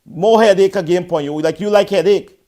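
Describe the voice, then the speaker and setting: A man's voice: sits high, a little fast, dark tone. A Guyanese man hosting a call-in show, firmly correcting a caller he keeps calling "sir". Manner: firm, corrective, repeatedly addresses the caller as sir. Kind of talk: heated call-in debate.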